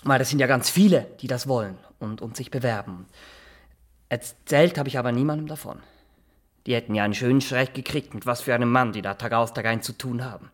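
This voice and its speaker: A man's voice: mid-range voice, lightly acted. Man acting a nervous, rambling Swiss barber. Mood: eager, nervous. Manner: rambling, halting, repeats himself, keeps adding tag questions. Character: small-town barber, nervous, self-justifying, boastful executioner applicant. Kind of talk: naturalistic radio drama acting.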